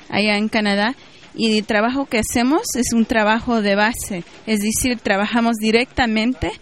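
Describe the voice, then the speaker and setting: A woman activist answering interview questions with steady, explanatory talk and frequent fillers. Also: a little high, a little hiss or hum. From Mexico.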